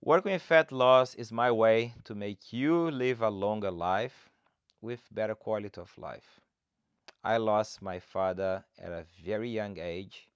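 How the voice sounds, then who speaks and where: A man's voice: on the low side, clear, resonant tone, no echo, studio quality. A man from Brazil with a Brazilian Portuguese accent, a coach hosting his own podcast and speaking to listeners in an earnest, encouraging, motivational way. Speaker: fat loss coach, podcast host. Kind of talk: solo podcast monologue.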